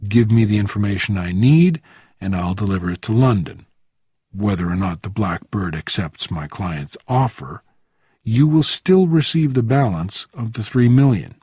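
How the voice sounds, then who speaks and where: A man playing a huge, calm, menacing crime boss with formal, measured phrasing in a thriller audiobook. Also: slightly rough, no echo, clean studio sound. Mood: businesslike. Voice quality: low voice.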